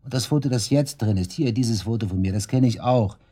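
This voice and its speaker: A man's voice: medium pitch, fairly quick, dark tone, slightly rough, lightly acted. An actor playing a brash, sarcastic murder suspect under police questioning in a radio drama, crude and defiant in his slangy talk. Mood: defiant, disbelieving. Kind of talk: naturalistic German radio drama acting.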